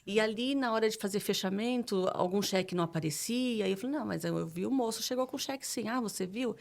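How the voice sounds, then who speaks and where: A middle-aged woman with a Brazilian accent chats as an interview guest. Her voice sits at an average pitch, and she retells events informally, voicing what people said in an animated way. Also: fast, gravelly. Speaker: podcast guest, business administrator. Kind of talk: casual interview storytelling.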